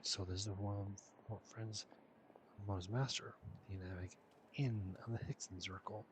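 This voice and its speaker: Low-pitched man streaming a video game. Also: steady tone, normal speed, background noise. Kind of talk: casual gameplay commentary and reading dialogue aloud. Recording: game music behind the voice.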